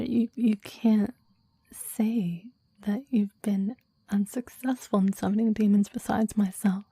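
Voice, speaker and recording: A woman voicing a possessive, devoted demon in an intimate ASMR roleplay, speaking in soft breathy whispers with a formal, intense, adoring manner. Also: medium pitch, no echo. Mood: attentive, deferential. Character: possessive, devoted clingy demon bound to a summoner.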